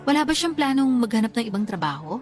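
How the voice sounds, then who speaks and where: Radio drama role: sassy working-woman best friend, high-pitched, frank and scolding, light music bed. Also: very animated, quick pace, darkish tone. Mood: friendly. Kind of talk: Tagalog radio drama dialogue.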